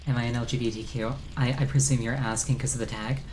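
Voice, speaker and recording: A woman with a husky contralto voice, chatting casually while streaming a game. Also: very deep voice, steady tone, quick pace, darkish tone, slight background noise. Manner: chatty, self-aware, a little self-conscious. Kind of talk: casual livestream chat while gaming. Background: game music.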